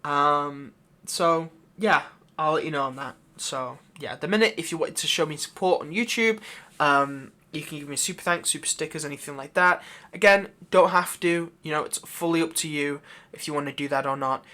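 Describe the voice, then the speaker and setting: A man's voice: fairly high pitch, normal speed, bright, forceful tone. A man hosting his own channel, giving a casual, unscripted update in a rambling, hesitant way. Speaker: channel host, audio roleplay creator.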